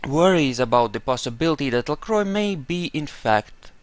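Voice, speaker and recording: A man giving casual role-playing game commentary, haltingly thinking aloud. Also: fairly high pitch, lively intonation, no echo. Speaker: gameplay narrator.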